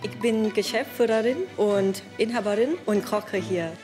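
A woman from Thailand, a restaurant owner and cook, talking slowly and carefully while she works. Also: medium pitch, fairly even intonation. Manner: slow, careful, simple phrasing. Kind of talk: interview while cooking. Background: music.

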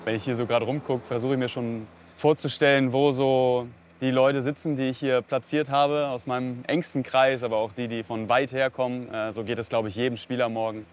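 A man's voice: medium pitch, little pitch movement, fairly quick, hardly any pauses. A man giving spontaneous interview answers as an amateur football player. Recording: outdoor urban noise, traffic.

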